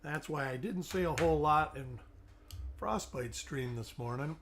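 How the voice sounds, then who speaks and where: A man's voice: a little high, measured pace, a bit bright, slight background noise. A middle-aged man hosting a live coin-hunting stream with a weak, fading voice after an illness. He chats along, greeting viewers by name while looking over coins. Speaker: host.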